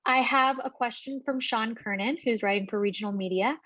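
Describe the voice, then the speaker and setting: Dull-sounding woman moderator, slightly high. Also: fairly quick, a bit bright. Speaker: press conference moderator. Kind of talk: moderating questions. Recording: dull lo-fi call audio.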